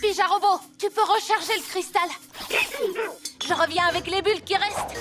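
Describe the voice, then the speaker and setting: A woman's voice: very high-pitched, fairly even intonation, moderate pace. A woman voicing a brave, determined young boy superhero in a cartoon dub, speaking earnestly. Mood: eager, determined. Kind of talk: animated cartoon dub acting. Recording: constant loud music underneath. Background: loud cartoon score.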